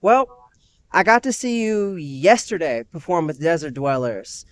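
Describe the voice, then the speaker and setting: A man's voice: a little high, big pitch swings, unhurried, smooth, dry recording. A young man hosting a podcast interview, enthusiastic and affirming, tossing in "heck yeah" often. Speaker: podcast host. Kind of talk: casual interview.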